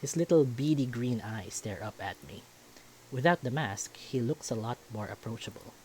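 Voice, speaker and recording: Guy reading out a visual novel in first person, mid pitched voice, bit of background noise. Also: expressive, normal speed, darkish tone, low-quality recording. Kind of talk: first-person story narration.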